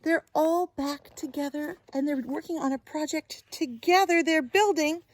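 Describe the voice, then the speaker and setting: A woman reading a picture book aloud to young children as a teacher, gently and with sing-song, exaggerated expression, often addressing them directly. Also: high voice, normal speed, no room sound.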